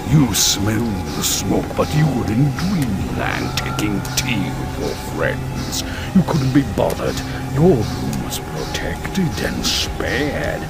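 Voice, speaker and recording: An actor playing a sinister male monster with a gravelly voice, scornful and precise, drawling out cruel accusations. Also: full character voice. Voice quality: very deep, gravelly.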